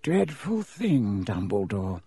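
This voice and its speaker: A man voicing a haughty, sneering aristocratic villain in an audiobook, with a lazy, smooth, mock-polite drawl. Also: fairly high pitch, animated, takes it slowly, mellow, dry recording, voice pushed up, full character voice. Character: haughty aristocratic middle-aged male villain, coolly sneering.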